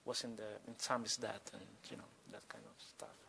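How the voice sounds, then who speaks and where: A man from Cuba with a Cuban accent and Spanish as his first language, speaking spontaneously and haltingly as a guest author at a live talk. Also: on the low side, big pitch swings, vocal fry, pushed and bright, a little hiss or hum. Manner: halting, with repeated words and broken phrases.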